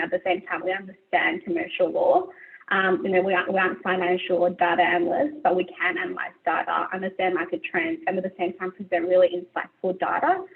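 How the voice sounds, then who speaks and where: A woman's voice: lowish voice, steady tone, fast. A young woman, a webinar guest, answering in a chatty, reflective way with lots of "you know", heard over a video call. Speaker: webinar guest, category specialist. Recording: tinny phone-like video call audio.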